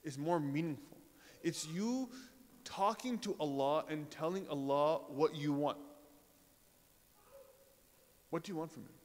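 A man giving an informal sermon to a congregation, talking in a conversational way with pauses, fillers and rhetorical questions. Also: on the high side, a little mellow. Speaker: religious speaker. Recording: reverberant hall.

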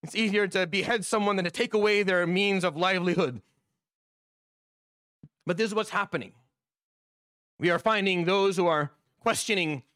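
A man's voice: high voice, a bit bright, clear, resonant tone, no room sound, studio quality. A man delivering a Friday sermon as imam, impassioned and repeating his points. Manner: impassioned, repetitive.

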